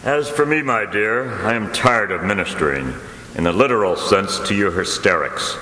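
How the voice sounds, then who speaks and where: A man acts out a weary con man posing as a parson in a radio mystery. He is sardonic and bitter, with a low, forceful voice in an echoey hall with faint noise. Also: moderate pace. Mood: bitter, fed up. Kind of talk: stage radio mystery acting.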